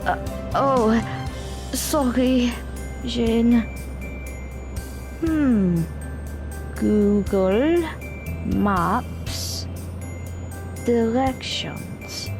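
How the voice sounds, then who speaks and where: A woman's voice: on the high side, extremely slow, long pauses, over music. A woman playing a practical, blunt young woman in an audio drama, speaking matter-of-factly.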